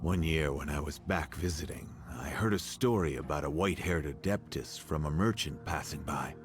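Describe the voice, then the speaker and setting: Low-voiced, remorseful older man. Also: moderate pace. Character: remorseful older village man, gentle and sorrowful. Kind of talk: video game voice acting. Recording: music playing behind the voice.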